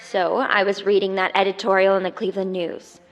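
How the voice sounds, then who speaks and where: An actress playing a chatty, suspicious 1950s hospital nurse trading gossip in an audio drama. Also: female voice, mid-range voice, level tone, a little fast, muffled recording, soft background music, natural voice. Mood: gossipy. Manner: chatty, insinuating.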